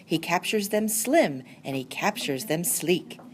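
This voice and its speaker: Very animated woman reading a storybook. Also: fairly low pitch, measured pace, a little hiss or hum. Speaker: staff member reading aloud. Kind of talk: storybook read-aloud.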